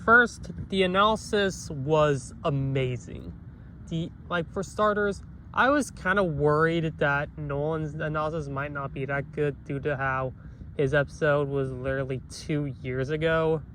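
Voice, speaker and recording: A man's voice: a little high, measured pace, sharp tone, a little hiss or hum. Nasal man rambling with a cough. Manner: rambling, with many restarts and self-corrections. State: has a cough. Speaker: reviewer. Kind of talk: casual spoken review.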